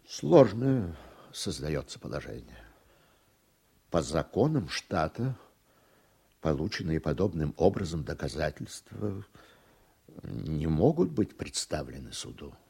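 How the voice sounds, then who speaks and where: Radio-play judge: proud, bitter, formal, with an extremely low, husky voice. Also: male voice, big pitch swings, takes it slowly, long pauses, dark tone, heavily acted. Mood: guarded, grave. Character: proud, embattled male judge, measured and bitter. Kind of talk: Soviet-era radio drama acting.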